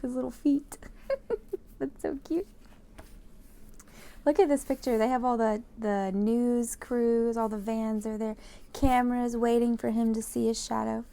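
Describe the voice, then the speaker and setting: An American woman hosting a children's read-aloud, explaining and reading in an animated way, speaking directly to young listeners. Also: fairly high pitch, unhurried, creaky, long pauses, a little hiss or hum.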